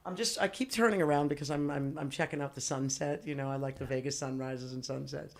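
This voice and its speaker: A woman's voice: low voice, fast. A woman guest on a podcast, telling stories in casual chat with lots of swearing and laughter. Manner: profane, laughing, animated storyteller.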